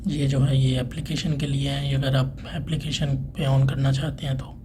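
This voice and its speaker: Man, mid-pitched, flat intonation, plain unscripted tutorial narration, clear background noise. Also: moderate pace. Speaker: installer presenting a tutorial. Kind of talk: step-by-step hands-on tech tutorial narration. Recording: clearly noisy room ambience.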